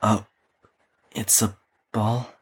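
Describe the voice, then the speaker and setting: Woman as deep, husky, weary young Nikolai. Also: little pitch movement, very slow, full character voice. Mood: hesitant. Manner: hesitant, subdued. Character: weary, hesitant, reserved Nikolai, young male husk of a person. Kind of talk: audio drama acting.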